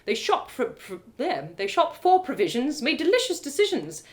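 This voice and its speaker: A woman narrating a fantasy tale dramatically in the first person, with a theatrical, expressive storyteller's manner. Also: high-pitched, normal speed. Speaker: storyteller and host.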